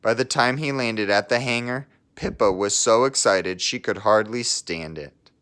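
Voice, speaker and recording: A man with a fairly low voice reads a children's story aloud. He narrates in a measured, teacherly way with pauses and slips into casual talk to his young listeners. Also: a bit bright, smooth.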